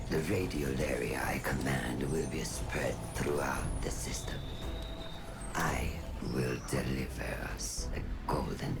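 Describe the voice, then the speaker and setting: A woman playing a cold, messianic commander of machines in a video game, with a breathy, creaky voice and a grandiose, menacing, measured manner. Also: rumbling low, heavily acted. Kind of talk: video game villain monologue. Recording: loud music under the voice.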